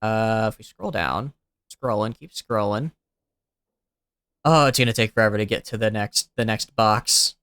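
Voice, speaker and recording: Young male streamer, smooth youthful voice. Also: lowish voice, a little slow, fairly bright tone, no echo, studio quality. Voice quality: youthful-sounding voice. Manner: rambling, chatty, thinking aloud with fillers. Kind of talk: casual gameplay commentary.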